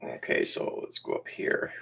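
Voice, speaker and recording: A man with a strong Newfoundland accent, hosting a casual live stream and chatting in a halting way, often repeating words and restarting. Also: sharp tone, in a whisper. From Newfoundland, Canada. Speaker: YouTube channel host. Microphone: camera used as webcam.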